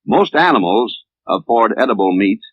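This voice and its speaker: A man's voice: on the low side, expressive, moderate pace, slightly rough, no room sound. Tinny 1950s American male film narrator. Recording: tinny, band-limited 1950s film soundtrack.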